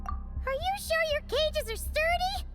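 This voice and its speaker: A woman voicing a tiny, excitable, curious childlike companion with a squeaky voice in an anime-style game. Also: slow pace, heavily acted. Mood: curious concern. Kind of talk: cartoonish anime game voice acting. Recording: game audio under loud music.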